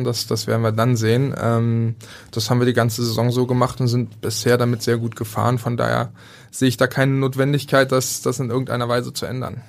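A man in his thirties from Berlin, a handball goalkeeper, answers interview questions. His pitch barely moves and stays flat and even. He talks hesitantly, restarting phrases and filling his pauses. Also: vocal fry. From Berlin, Germany. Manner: hesitant, many fillers and restarts.

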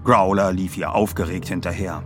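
A man's voice: low voice, fairly even intonation, normal speed, music in the background. A man presenting and narrating in a scripted style as a podcast host and reader.

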